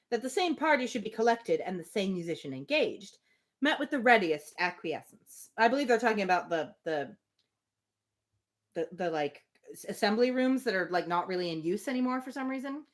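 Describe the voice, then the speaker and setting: Woman, livestream host, animated pitch swings, novel read-aloud, casual playful asides. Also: fairly quick.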